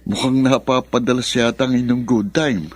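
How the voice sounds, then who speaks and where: A man's voice: medium pitch, steady tone, moderate pace, natural voice. A man playing a bitter, sarcastic young adult son who talks accusingly in a radio drama. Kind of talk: Filipino radio drama acting.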